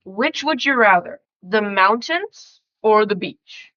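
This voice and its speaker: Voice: mid-range voice, expressive, slow, sharp tone, highs cut off. A twelve-year-old child reading out questions and chatting casually on a family podcast. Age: twelve years old.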